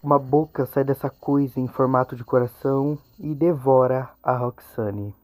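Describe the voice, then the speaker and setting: A man's voice: mid-range voice, steady tone, normal speed, darkish tone. A man with a Brazilian accent narrating a horror game explainer video in an informal, conversational way. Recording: muffled, narrow phone-like sound.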